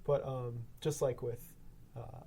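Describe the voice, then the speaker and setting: Gravelly, flat-voiced man rambling. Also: mid-range voice, slow pace, a little hiss or hum. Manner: rambling, heavy use of like and um. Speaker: guest sound designer. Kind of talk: casual round-table discussion.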